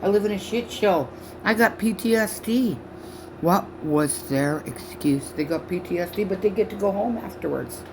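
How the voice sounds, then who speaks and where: A woman in her sixties from Canada ranting loudly and profanely to camera on her own channel, her speech halting from false teeth. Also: medium pitch, lively intonation, background noise. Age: 60s. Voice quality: loud voice. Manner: shouting, profane, accusatory. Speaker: vlogger. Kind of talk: angry rant to camera.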